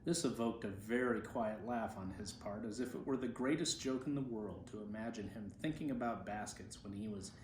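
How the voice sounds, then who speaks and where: A man's voice: fairly low pitch, normal speed, few pauses, a little mellow. A man reading a literary story aloud as an amateur reader. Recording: roomy echo, faint background noise.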